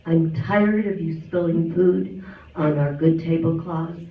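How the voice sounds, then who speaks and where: An impatient father from a folk tale, voiced by a male storyteller, speaks emphatically and slowly. The sound is thin and distant, echoing in a hall. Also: on the high side, fairly even intonation, vocal fry. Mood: angry, scolding. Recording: distant echoey hall recording, thin and phone-like.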